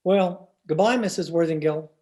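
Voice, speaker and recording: An actor playing a weary, long-suffering husband in old-time radio comedy, with a dry manner. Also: male voice, a little high, normal speed, crowd noise, natural voice. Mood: polite, firm.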